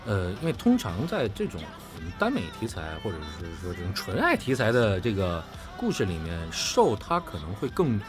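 A man's voice: on the low side, wildly swooping pitch, moderate pace, hardly any pauses. A man chatting on a panel as a voice actor, explaining his views earnestly and with emphasis. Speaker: voice actor and drama director. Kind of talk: casual panel chat. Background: background music.

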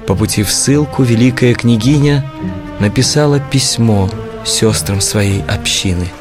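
A man narrating a historical audio play from a script in a solemn, measured manner. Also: mid-range voice, over music.

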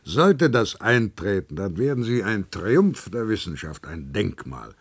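A male actor playing a blunt, patronizing older professor of medicine in a German radio play, with a husky voice. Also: fairly low pitch, big pitch swings, unhurried, dry recording, studio quality, full character voice. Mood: amused, cynical. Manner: patronizing, pragmatic, lecturing.

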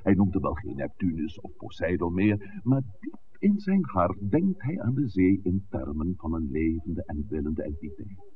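Deep-voiced, erudite village priest. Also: male voice, very animated. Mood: earnest, explanatory. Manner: measured, articulate, lecturing. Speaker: actor in a radio play. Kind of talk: 1960s Dutch radio drama acting. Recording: muffled, hissy 1960s radio recording.

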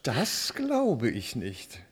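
A man's voice: medium pitch, wildly swooping pitch, drawn-out words, breathy edge, full character voice. An actor in a German radio play, playing an easygoing, flirtatious male scientist with a charming, teasing manner. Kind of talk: German radio drama acting.

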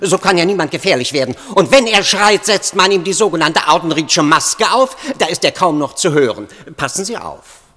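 A man's voice: on the high side, animated, normal speed, hardly any pauses, bright, forceful tone. Smug clinic man in a reverberant hall. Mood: smug, matter-of-fact. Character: smug clinic attendant proud of brutal modern science. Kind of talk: German radio drama acting.

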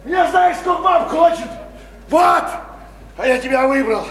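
A man's voice: very high voice, expressive, unhurried, fairly bright tone, full character voice. An actor playing a crude, bullying married working-class man in a Russian radio play, coarse and slangy, jeering and repeating himself insistently. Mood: threatening, angry. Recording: roomy, slightly noisy stage-like radio recording.

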